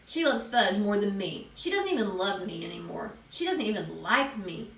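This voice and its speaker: A woman's voice: mid-range voice, animated, normal speed, vocal fry, fairly bright tone, roomy sound, recorded from a distance. A woman voicing an exasperated, resentful nine-year-old boy, blunt and indignant, in a storybook. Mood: sulky.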